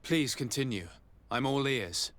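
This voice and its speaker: An actor playing a composed, courteous but pressing investigator in a video game. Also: male voice, mid-range voice, animated, unhurried, fairly bright tone. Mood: polite, probing.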